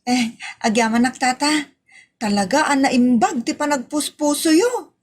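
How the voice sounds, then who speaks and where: A woman's voice: sits high, expressive, measured pace. A woman with an Ilocano accent playing a polite, pleading young neighbour in a comedy audio drama. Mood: pleading, grateful. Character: polite, grateful young female neighbour asking a loan.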